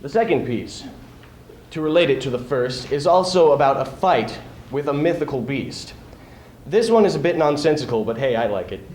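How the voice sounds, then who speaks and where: A man's voice: medium pitch, lively intonation, normal speed, background noise. A young man giving a dramatic, emphatic poetry reading to an audience as a student performer. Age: teens or young adult. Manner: theatrical, emphatic delivery. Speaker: student speech team performer. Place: hall with live audience. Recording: echoey hall recording.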